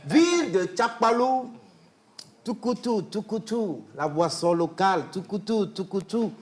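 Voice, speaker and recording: Un homme à l'accent togolais qui présente l'invité sur un ton joueur, en scandant des listes et en chantonnant. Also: male voice, sits high, lively intonation, measured pace. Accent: Togolese French. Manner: playful, rhythmic, chanting lists. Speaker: show regular presenting the guest. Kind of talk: lively praise-style guest presentation.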